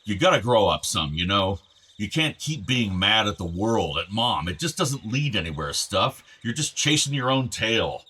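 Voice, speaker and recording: A man's voice: on the low side, sing-song, moderate pace, sharp tone, slightly rough, exaggerated voice. An actor playing an older, weary, worried father pleading with his troubled son, in a naturalistic radio play. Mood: pleading, urgent.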